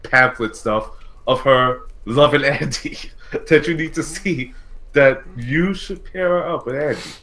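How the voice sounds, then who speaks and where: Bright, forceful man in halting casual chat. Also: medium pitch. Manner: halting, heavy use of "like". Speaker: podcast co-host. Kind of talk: casual chat, opinionated commentary.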